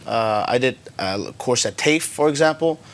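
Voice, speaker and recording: A man who grew up in Germany speaks as a guest filmmaker on a talk show. His voice has an ordinary middle pitch. He answers in a relaxed, halting way, with plenty of pauses and fillers. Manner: relaxed, hesitant, many fillers. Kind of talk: casual interview answers.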